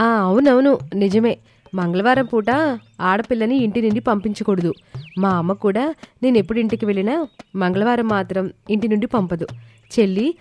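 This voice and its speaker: A woman's voice: mid-range voice, darkish tone, lightly acted. A woman voicing a kindly but wary village housewife in a cartoon moral story, homely and earnest. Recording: music under the voice.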